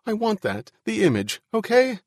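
A man's voice: mid-range voice, lively intonation, slow pace, no echo, studio quality. A man voicing an anxious, fragile male musician in an audiobook, fretful and pleading.

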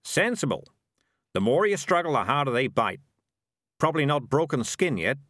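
A man's voice: medium pitch, sing-song, normal speed, a bit bright, dry recording, clean studio sound, voice pushed up. A man voicing a cynical, bossy talking animal schemer who lectures in a wheedling, condescending way in a storybook reading. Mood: calm, menacing.

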